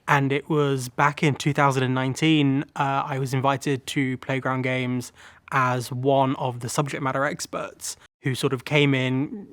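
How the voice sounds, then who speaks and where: A man's voice: mid-range voice, fairly bright tone, dry recording. Earnest man, dry close sound. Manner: earnest, thoughtful, hedging with "sort of" and "kind of". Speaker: accessibility consultant and teacher of the deaf. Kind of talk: interview answers.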